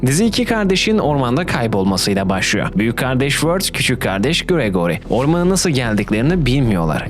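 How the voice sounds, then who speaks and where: A man hosting a scripted video essay, with casual asides to the viewers. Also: mid-range voice. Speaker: video host. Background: background music.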